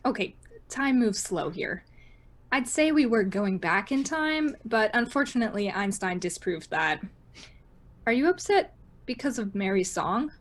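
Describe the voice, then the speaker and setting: Slow-talking, deadpan young woman, acted. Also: mid-range voice. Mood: deadpan concern. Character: Poppy, deadpan, awkwardly concerned college student. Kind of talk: radio drama acting.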